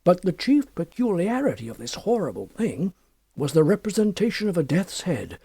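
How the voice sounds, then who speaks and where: A man narrating a classic horror story as an audiobook, in a formal, measured, dramatic literary style with deliberate phrasing. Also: high voice, big pitch swings, creaky, mellow, slightly rough, no room sound.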